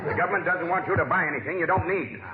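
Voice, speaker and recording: Man playing officious nosy neighbor, fast, slightly high voice, self-important, old radio comedy, noisy thin recording. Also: echoey room, narrow, tinny audio, lightly acted. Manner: officious, lecturing. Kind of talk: 1940s radio comedy acting.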